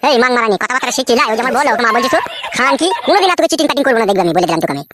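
A man playing an exasperated, crude village husband in a comic cartoon, bickering dismissively in a pitched-up voice. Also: big pitch swings, racing, very noisy. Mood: irritated. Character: exasperated, crude village husband caught between two wives.

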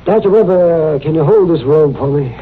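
An actor playing a kindly, modest frontier doctor in a radio western, with a hesitant, folksy way of talking. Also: male voice, fairly high pitch, a little fast, faint music behind, close to an everyday voice. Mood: flustered, friendly. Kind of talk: old-time radio western acting. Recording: tinny, noisy old radio recording.

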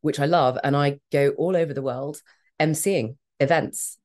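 A woman's voice: deep voice, fairly even intonation, darkish tone. A middle-aged woman with a British accent, talking frankly and emphatically as a guest in an interview. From Australia. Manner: emphatic, frank, quick to laugh. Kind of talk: candid conversational interview.

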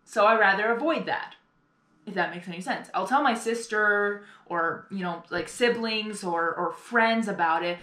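A young adult woman giving casual, rambling reaction commentary in an earnest, halting, apologetic way. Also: mid-range voice, fairly bright tone. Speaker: reaction video host. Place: small bedroom, indoors. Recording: echoey room, music behind.

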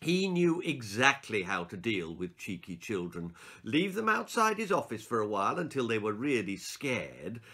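Male storyteller, 60s, dramatic reader of children's tales, bright, keen-edged voice with very varied intonation. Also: fairly low pitch, normal speed, few pauses, breathy edge. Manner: expressive, theatrical, emphatic. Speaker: author reading his own children's stories. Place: small book-lined room indoors.

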